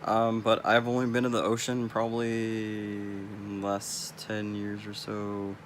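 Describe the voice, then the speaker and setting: Low-voiced, slow-talking young Californian man. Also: completely flat delivery, slight background noise. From California, US West Coast. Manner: rambling, blunt, swears freely. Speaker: vlogger. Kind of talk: casual vlog monologue.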